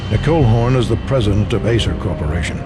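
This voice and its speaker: An actor playing a polished, secretive old man who speaks in a formal, measured way. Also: on the low side, animated. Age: older. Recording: loud music under voice.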